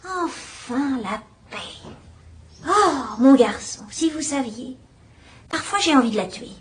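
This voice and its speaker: Elderly woman sleuth, wry and probing, high lively voice; faint hiss behind. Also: slow pace. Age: older. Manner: gentle, wry, quietly probing. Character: shrewd elderly spinster sleuth, gentle and sharp. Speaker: amateur detective. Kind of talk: dubbed radio-style drama acting.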